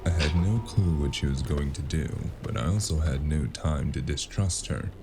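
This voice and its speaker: A man playing a weary, wry noir detective in an audio drama, speaking tersely with a gravelly voice. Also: a bass voice, moderate pace. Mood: tense, grim. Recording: music under the voice.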